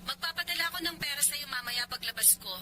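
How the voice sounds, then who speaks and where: A woman's voice: high-pitched, fast talker, close to an everyday voice. An actress playing a kind, generous young working woman in a Filipino radio drama. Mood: concerned, generous. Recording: noisy ambient background.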